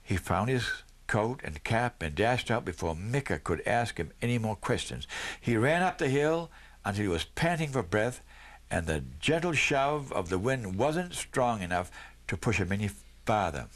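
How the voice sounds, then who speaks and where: A man's voice: lowish voice, animated, normal speed. A man reading a children's Christmas story aloud as scripted narration. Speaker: storybook reader.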